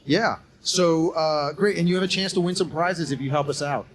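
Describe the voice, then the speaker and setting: A man in his forties co-hosting a live podcast on a college campus, upbeat and laughing often. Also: on the high side. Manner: upbeat, laughs often, rushes through explanations. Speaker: podcast co-host and game host. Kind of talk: casual live podcast chat.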